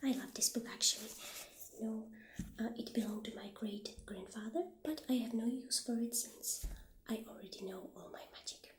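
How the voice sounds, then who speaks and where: A woman playing a friendly witch shopkeeper in a soft-spoken ASMR roleplay, gentle and hesitant, with a breathy, half-whispered voice. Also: on the high side, close to an everyday voice. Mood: gently helpful. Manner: gentle, hesitant, halting delivery. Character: friendly, humble witch shopkeeper selling magic wares. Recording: roomy echo, clear background noise.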